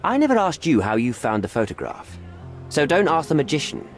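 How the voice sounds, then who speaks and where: An actor playing a self-important, cynical middle-aged diplomat in a video game, pompous and self-satisfied. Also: male voice, a little high, huge pitch swings, brisk, soft background music. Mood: boastful. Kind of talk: video game cutscene acting.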